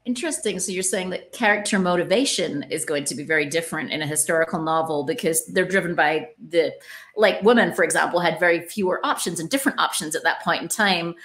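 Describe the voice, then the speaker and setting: A woman's voice: fairly low pitch, fast talker, fairly bright tone. A woman hosting a casual podcast interview, chatting in an enthusiastic, reflective way. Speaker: podcast host interviewing an author.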